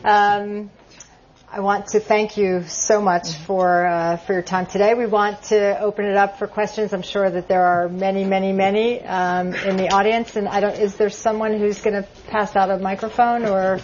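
A woman's voice: mid-range voice, fairly even intonation, moderate pace, a little mellow, slight background noise. A woman moderating a book talk at a bookstore, friendly and hesitant, leaning on fillers. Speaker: event moderator. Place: bookstore event. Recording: muffled event recording.

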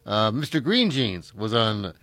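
A man's voice: lowish voice, big pitch swings, a little slow, a bit bright, no room sound. An older man, hosting a casual chat and reminiscing in a rambling way, full of fillers and restarts.

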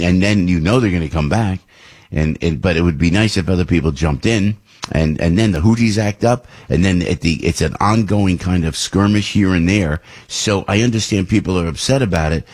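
An older man rambles through his radio talk show, restarting sentences and slipping into mock voices. His voice sits very low and carries a husky edge. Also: darkish tone. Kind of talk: casual political talk radio monologue.

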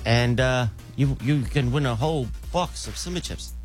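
A man's voice: medium pitch, moderate pace, faint music behind. A man in his forties with a South African accent, a radio host telling stories in casual morning-show banter, with frequent stammering restarts. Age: 40s.

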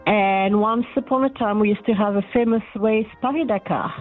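A woman from Senegal calling in to a radio show, chatting in a relaxed way and laughing easily. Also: mid-range voice, moderate pace, soft background music. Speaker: caller. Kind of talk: phone-in chat. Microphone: phone. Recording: muffled phone line.

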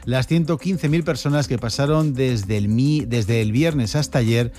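A man with a Spanish accent from Spain, anchoring a morning radio news bulletin in a measured newsreader manner. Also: mid-range voice, dark tone. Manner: brisk, measured newsreader delivery.

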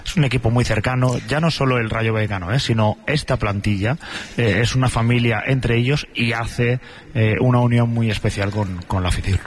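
A man's voice: mid-range voice, steady tone, a little fast, slightly rough. A man with a Castilian Spanish accent, a sports journalist giving a conversational account on the radio. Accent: Spain, Castilian. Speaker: sports journalist reporting on football.

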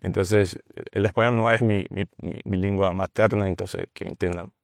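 Brief: Brazilian man, non-native Spanish, halting delivery, dry close sound. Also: low-pitched, steady tone, vocal fry, dry recording. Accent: Brazilian Portuguese. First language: Portuguese. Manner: halting, with restarts and searching for words. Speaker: guest, teacher of a ministry. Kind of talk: conversational testimony.

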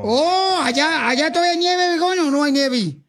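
A man's voice: extremely high pitch, moderate pace. A man hosting a radio call-in prize contest, chatting playfully with slangy nicknames. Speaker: radio show host.

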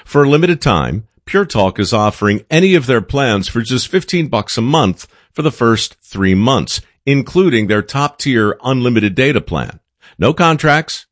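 A man with a lowish voice reads a radio ad, swinging his pitch widely as he pitches the deal. The recording is dry and close, and the tone is persuasive. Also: dry recording. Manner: upbeat, persuasive sales pitch. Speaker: ad spokesman. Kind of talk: scripted radio ad read.

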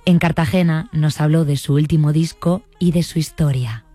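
A woman hosting a radio program, introducing her guest and asking questions in a calm, measured way. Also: low-pitched, soft background music. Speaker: radio host. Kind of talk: radio interview.

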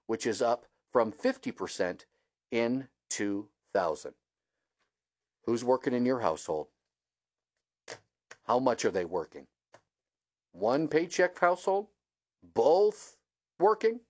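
A man with an American accent hosting talk radio, presenting to listeners in a conversational broadcast style. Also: medium pitch. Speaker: radio talk show host.

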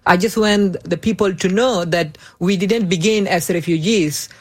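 A young man from Myanmar, giving earnest interview answers in measured, deliberate English. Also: sits high. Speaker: interview guest, refugee activist.

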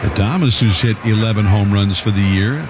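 A man calling a baseball game play by play in a relaxed, conversational way, correcting himself as he goes. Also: on the low side, moderate pace. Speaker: play-by-play announcer. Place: broadcast booth at a ballpark. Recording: ballpark crowd and noise behind.

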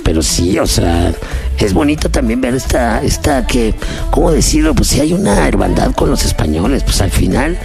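Mexican male interview host: effusive, lots of repetition, gravelly tone, music playing behind. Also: mid-range voice, big pitch swings, normal speed. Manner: effusive, repeats phrases, interjects often. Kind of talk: casual, chatty interview.

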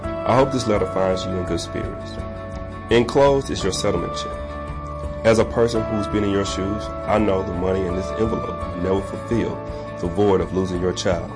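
A man reading a consoling letter in a scripted ad, solemn and steady. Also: low voice, moderate pace. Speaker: voice actor in a law firm ad. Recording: radio ad with loud music.

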